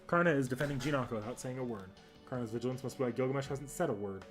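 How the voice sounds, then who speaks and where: A man's voice: medium pitch, racing. A man reading a game's story narration aloud, with music playing behind him. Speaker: game narrator, club member.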